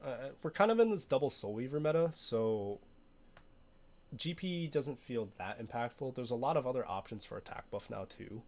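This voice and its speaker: A young man, a little hoarse from being sick, talking casually through his game roster with frequent fillers and restarts. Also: mid-range voice, lively intonation, moderate pace, a little mellow. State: hoarse from illness. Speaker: game streamer.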